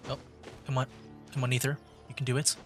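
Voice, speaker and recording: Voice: medium pitch, little pitch movement, quick pace. A game streamer talks casually on a livestream, thinking aloud through each step. The delivery is conversational, with game music playing behind.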